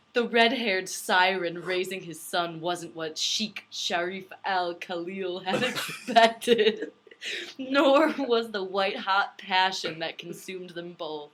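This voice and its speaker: A woman's voice: mid-range voice, a little hiss or hum, rough recording. A woman co-hosting a casual podcast, making wry asides and reading a book blurb aloud. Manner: wry, giggly, deadpan asides. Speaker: podcast co-host.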